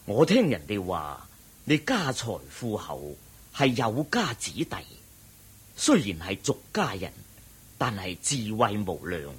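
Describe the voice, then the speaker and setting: Elderly monk, radio drama, humble, deferential, very husky, lowish pitch, lively intonation. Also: male voice, slow pace, exaggerated voice. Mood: humble, grateful. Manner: deferential, expressive. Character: humble, venerable old male Buddhist monk. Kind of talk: Cantonese radio drama acting.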